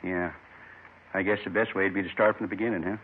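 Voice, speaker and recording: An actor playing an earnest, resigned American robbery suspect in a 1950s radio drama. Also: male voice, deep voice, steady tone, quick pace, a little hiss or hum, natural voice. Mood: remorseful, earnest. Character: remorseful, earnest male drunk turned robbery confessor. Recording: tinny 1950s radio recording.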